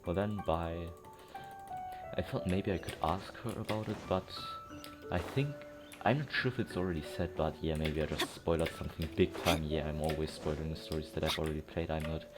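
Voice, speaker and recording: Low-voiced man rambling over a game. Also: steady tone, a little fast. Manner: rambling, self-correcting, thinking aloud. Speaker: gaming streamer. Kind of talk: casual let's play commentary. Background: game music.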